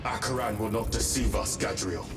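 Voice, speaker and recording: An actor playing a grave, loyal space marine in a video game, speaking in a formal, solemn way. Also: male voice, lowish voice, normal speed, distant mic, over loud music, close to an everyday voice. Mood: grave, concerned. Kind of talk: cinematic video game acting.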